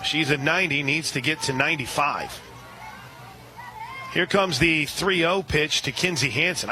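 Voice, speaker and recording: A man calling a softball game live on radio, steady between pitches and surging loud and high on big plays. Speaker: radio play-by-play announcer.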